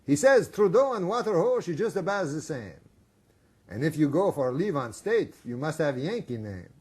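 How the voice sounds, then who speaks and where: A man voicing a boastful emigrant back from the States, airy and showy, in a raised, husky voice. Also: on the high side, sing-song, moderate pace, darkish tone. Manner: puffed-up, airy, pretending not to know old friends.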